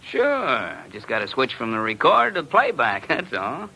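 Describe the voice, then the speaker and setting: A man's voice: mid-range voice, huge pitch swings, unhurried, gravelly, bright, forceful tone, exaggerated voice. An actor playing a dim, chatty small-time gangster henchman in a vintage radio crime drama, slangy and simple-minded. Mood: cocky, amused. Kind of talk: 1940s-50s radio crime drama acting. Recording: noisy vintage radio recording.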